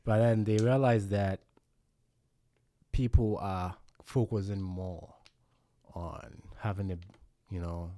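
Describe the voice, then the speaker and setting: A man's voice: fairly low pitch, fairly even intonation, takes it slowly, pauses often, warm tone, dry recording, clean studio sound. A man hosting a casual podcast conversation, reflective and thinking aloud with many fillers. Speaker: podcast host.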